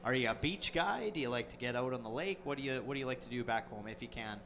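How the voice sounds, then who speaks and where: A man's voice: medium pitch, fairly bright tone, a little hiss or hum. A man doing baseball color commentary, upbeat and teasing, asking a player about his summer. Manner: upbeat, teasing, quick affirmations.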